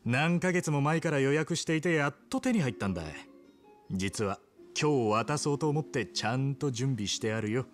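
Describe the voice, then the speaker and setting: Man acting as brash young yakuza, animated and pushy. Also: medium pitch, normal speed, soft background music, lightly acted. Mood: proud, casual. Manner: rough, blunt, goading speech. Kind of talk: video game cutscene acting.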